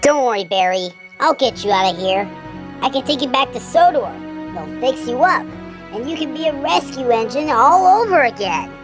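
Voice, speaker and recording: A woman's voice: high voice, expressive, normal speed. A woman voicing a kind, earnest, anxious female steam engine in a fan comic dub. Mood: reassuring, eager. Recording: music under the voice.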